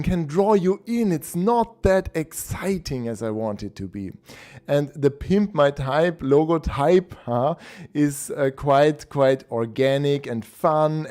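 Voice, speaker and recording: A man chats through a live stream with easy enthusiasm and a slightly hoarse edge. His voice is dark and mellow, and faint music plays behind him. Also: mid-range voice. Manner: enthusiastic, chatty, with laughs and restarts. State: hoarse, a bit unwell. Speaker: host of a typography livestream. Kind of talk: casual live-stream chat.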